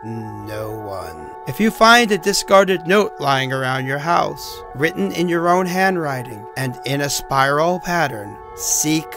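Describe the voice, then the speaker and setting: Slow male narrator over music. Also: a little high, lively intonation. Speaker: narrator reading end credits. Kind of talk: scripted narration.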